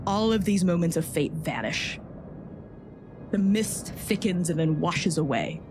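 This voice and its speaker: A woman's voice: lowish voice, animated, measured pace, background noise. A woman narrating a tabletop fantasy game as its game master, describing the scene to the players. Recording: music behind the voice.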